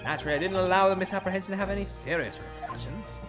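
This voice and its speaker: A streamer voicing an eccentric, pompous male detective in a put-on British accent, low and drawling, over game music. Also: racing, natural voice. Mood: smug, explaining.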